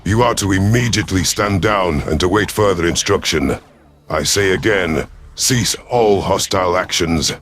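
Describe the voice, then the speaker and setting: A man's voice: sits low, normal speed, fairly bright tone. A man voicing a male alien predator fleet commander, formal and calculating, in a sci-fi audio story. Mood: commanding. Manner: formal, imperious. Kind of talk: first-person sci-fi audio story narration.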